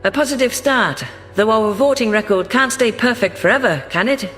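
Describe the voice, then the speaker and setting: A man's voice: high-pitched, normal speed, a bit bright, faint music behind. A man narrating a science fiction story in the first person, reading a scripted text.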